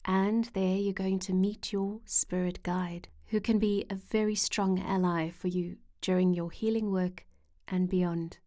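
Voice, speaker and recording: A woman leading a scripted guided meditation in a gentle, soothing way with measured pauses. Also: mid-range voice, flat delivery. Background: soft meditation music with singing-bowl tones.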